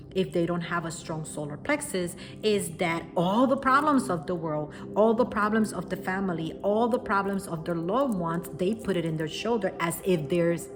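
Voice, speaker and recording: A woman from Puerto Rico with a strong Puerto Rican accent, giving an unscripted spiritual lesson straight to her viewers at home, earnest and reassuring. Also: lowish voice. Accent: Puerto Rican Spanish. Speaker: spiritual teacher. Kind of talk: unscripted spoken lesson to camera. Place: at home, quiet room. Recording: music playing behind the voice.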